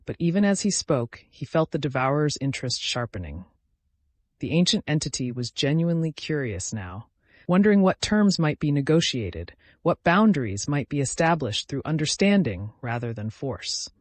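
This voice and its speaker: A man's voice: on the high side, big pitch swings, normal speed, no room sound. A man reading a dramatic scripted fantasy story as its narrator.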